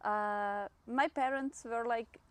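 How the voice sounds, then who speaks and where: A Swiss woman in her thirties talks in English with a Swiss German accent. Her native language is Swiss German. She sounds relaxed and chatty, and she laughs often as she answers an interviewer. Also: a little high, slow pace. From Switzerland. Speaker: guest runner. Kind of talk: casual interview talk.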